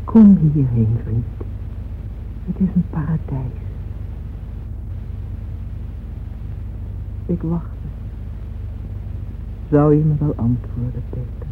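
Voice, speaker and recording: An actress playing a lonely, yearning older aristocratic woman in a radio play, speaking slowly, wistfully and intimately. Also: deep voice, slight background noise. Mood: tender longing. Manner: slow, wistful, intimate confiding delivery. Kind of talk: old radio drama acting, monologue. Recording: dull old radio recording with music.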